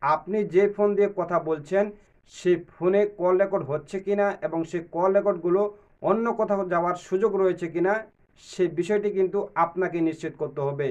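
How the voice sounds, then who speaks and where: Guy doing a phone tutorial, kinda high voice, slightly dull lo-fi audio. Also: darkish tone. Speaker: tech tutorial host. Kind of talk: step-by-step phone tutorial narration.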